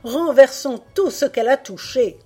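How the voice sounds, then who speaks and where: A woman's voice: high-pitched, lively intonation, normal speed, slightly rough, faint music behind, voice pushed up. A woman voicing a frightened, protective old grandmother in a storybook tale, urgent and breathless. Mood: frantic alarm. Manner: breathless, urgent, hushed warnings.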